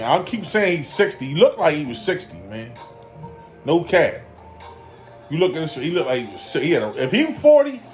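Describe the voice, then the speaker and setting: 40-something guy recapping a fight, mid-pitched voice, keeps saying you know and man, faint music under him. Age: 40s. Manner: loose, rambling, heavy on fillers like "you know" and "man"; emphatic, repeats his points for effect. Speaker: boxing commentary vlogger. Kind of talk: casual boxing breakdown vlog.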